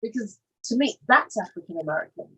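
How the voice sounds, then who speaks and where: A woman on a discussion panel who speaks deliberately and slows down to stress her points. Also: on the high side, expressive, pushed and bright, breathy edge, rough recording.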